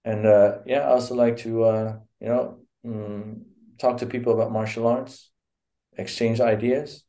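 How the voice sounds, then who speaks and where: A man's voice: mid-range voice, little pitch movement, measured pace, warm tone. A middle-aged man with a Dutch accent, a guest speaking on a video call, hesitant with many fillers and restarts. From Netherlands. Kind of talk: casual interview. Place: home room.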